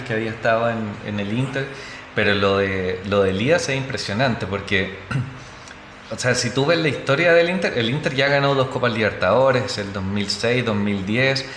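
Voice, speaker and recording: A man's voice: mid-range voice, fairly bright tone. A man with a Chilean accent, casually telling stories as a podcast guest, with frequent restarts. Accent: Chilean Spanish. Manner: storytelling, many restarts and fillers. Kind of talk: casual chat. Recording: echoey room with faint noise.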